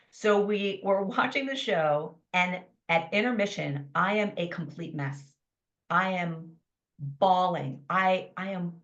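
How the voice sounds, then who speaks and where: A woman in her fifties, a life coach telling her story as a podcast guest in an enthusiastic, animated way. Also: on the low side, muffled recording. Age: 50s. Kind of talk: conversational interview storytelling.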